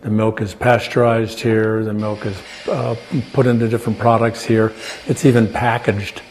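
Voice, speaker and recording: An older American man explaining his work informally and step by step, in a halting manner. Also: on the low side, little pitch movement, darkish tone. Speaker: farm owner and cheese maker. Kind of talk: informal explanation while demonstrating. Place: indoors in a small farm creamery. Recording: clear background noise, working creamery room.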